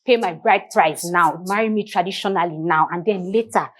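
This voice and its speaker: A woman's voice: fairly low pitch, normal speed, lightly acted. A woman playing a young fiancée who speaks hesitantly and pleadingly.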